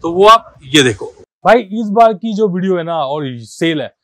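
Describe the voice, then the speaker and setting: A high-pitched male voice moves quickly from part to part, selling car accessories in a shop. He talks like a pushy salesman, keeps calling the viewer brother, and quotes sale prices. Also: animated, a bit bright, no echo. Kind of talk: sales pitch walkthrough video.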